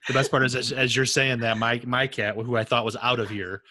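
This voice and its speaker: A man's voice: mid-range voice, fairly even intonation, brisk, pushed and bright. A man hosting a podcast, talking in a casual, conversational interview style. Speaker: podcast host.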